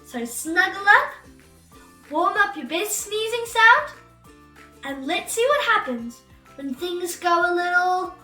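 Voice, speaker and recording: A woman reads a picture book to children in an intensely bright, theatrical voice. Her pitch swings widely through exclamations and drawn-out words. She sounds set back from the mic in a roomy space, with music underneath. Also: lively intonation, far from the mic. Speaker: storytime host and read-aloud narrator for children. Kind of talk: animated children's storybook read-aloud.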